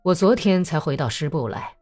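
A woman voicing a defiant male Cossack commander in an audiobook, terse and stubborn, in a low, muffled voice, with music behind. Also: animated, moderate pace, darkish tone. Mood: subdued. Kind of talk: audiobook character acting.

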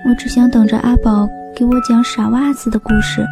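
Devoted female sock, naive and plaintive, woman acting in audio fairy tale; highish voice, music underneath. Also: flat delivery, brisk. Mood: stubborn longing. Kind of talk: fairy-tale radio drama dialogue. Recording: clear music behind voice.

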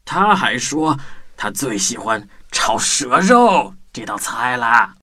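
A man's voice: medium pitch, very animated, slow pace, music in the background. A man playing a small, cunning, stammering mouse in a children's audio drama, with exaggerated delivery. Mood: boastful, menacing. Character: small clever timid-seeming mouse, cunning bluffer.

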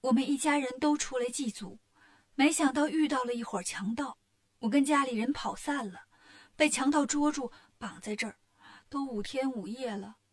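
A woman's voice: high voice, moderate pace. Woman acting out female demon as pitiful young girl, storybook, pleading, plaintive, scheming.

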